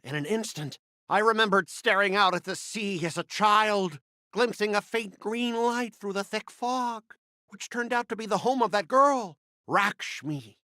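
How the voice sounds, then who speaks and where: A man's voice: sits high, a little slow, pushed and bright, no room sound. An actor playing a self-absorbed, coolly ruthless young man in a video game, speaking in a dramatic, reflective way. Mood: dramatic reminiscing.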